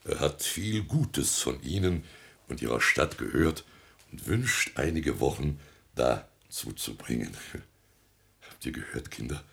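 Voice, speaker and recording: A self-important elderly town mayor in a radio comedy. The voice is very deep and unhurried, swinging widely as he declaims and blusters. The delivery is theatrical and full of stuffy officialese. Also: lively intonation, gravelly, a bit bright, full character voice. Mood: awed, self-important. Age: older.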